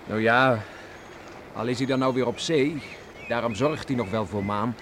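An actor playing a good-natured, modest young son in a Dutch radio drama. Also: male voice, mid-range voice, normal speed, fairly bright tone, close to an everyday voice. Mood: easygoing. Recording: noisy archive radio play recording.

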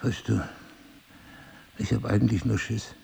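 Amnesiac husband, mellow dark tone, gravelly, questioning, wryly self-mocking, naturalistic drama. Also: male voice, lowish voice, steady tone, unhurried, exaggerated voice. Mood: vulnerable. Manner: probing, sardonic, questioning. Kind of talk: two-hander relationship drama acting, naturalistic.

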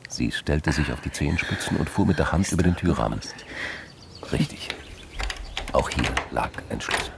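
An extremely deep male voice with a gravelly creak narrates a crime drama. He is measured and sober, reading scripted prose, with clear noise and effects behind him.

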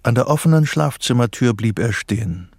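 An actor as the narrator of a psychological thriller, reading scripted radio drama narration. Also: male voice, mid-range voice, animated, normal speed, darkish tone, no echo, studio quality. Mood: measured, tense.

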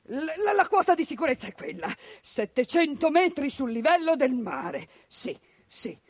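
A woman's voice: sits high, sing-song, normal speed, dry recording, studio quality. A woman voicing an evasive male university professor who mumbles reassurances and keeps repeating yes. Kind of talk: theatrical monologue.

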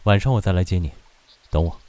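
A man playing a calm, gentle and patient mountain god in an audio drama, speaking in a measured, courteous way. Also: deep voice, natural voice. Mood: tender, patient. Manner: measured, courteous, softly indulgent. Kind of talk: Chinese audio drama acting.

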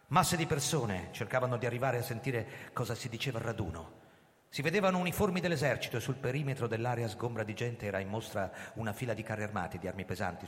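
A male actor playing a grim, accused ex-police commissioner who narrates his own story in a radio drama, brooding and deliberate. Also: mid-range voice, lightly acted. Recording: hall reverb, noisy live stage ambience.

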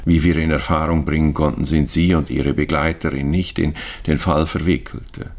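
A discreet Swiss banker in a radio crime play. His voice is extremely low and full of creak, with a husky grain. He speaks formally and hesitantly, courteous to the point of fawning, always evasive. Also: steady tone, normal speed. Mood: apologetic, discreet. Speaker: bank official. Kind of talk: radio crime drama dialogue.

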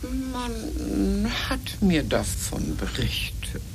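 A woman playing a genteel, arch lady with halting, mannered politeness in a satirical radio play. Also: medium pitch, huge pitch swings, a little hiss or hum, exaggerated voice. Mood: coolly ironic. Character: genteel, hesitant, coolly arch lady hostess.

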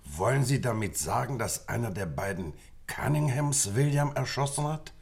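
An actor playing an astonished, questioning colonel in a German radio play, formal and incredulous. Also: male voice, mid-range voice, expressive, moderate pace, gravelly, slightly rough. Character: astonished, questioning gentleman colonel, an admiring listener.

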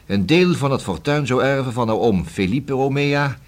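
An actor playing a persistent, ironic police detective in a radio play, speaking formally and unflappably. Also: male voice, medium pitch, expressive, normal speed, natural voice. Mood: insistent.

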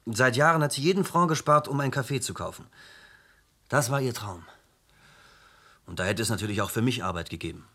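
A man's voice: medium pitch, lively intonation, brisk, long pauses, a bit bright. An actor playing a defensive, plain-spoken unemployed young man in his early twenties, questioned in a German radio play. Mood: defensive, earnest. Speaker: witness being questioned.

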